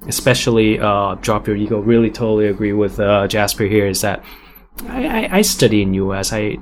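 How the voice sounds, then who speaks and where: A man's voice: on the low side, fairly quick, slight background noise. A man from Hong Kong with an American-sounding accent, speaking animatedly as a guest on a panel, restarting and repeating himself often. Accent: American-sounding English. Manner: animated, with frequent restarts and repetitions. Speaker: guest entrepreneur. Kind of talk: casual panel discussion.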